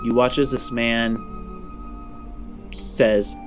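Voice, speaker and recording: A man's voice: mid-range voice, wildly swooping pitch, drawn-out words. A man running a tabletop roleplaying game as game master, narrating scenes in descriptive storytelling. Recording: music under the voice.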